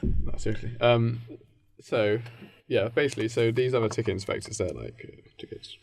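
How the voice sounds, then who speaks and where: A man's voice: mid-range voice, normal speed, creaky, a little mellow. A man with an English accent, chatting casually as a podcast co-host and explaining an improvised story in a loose, hesitant way.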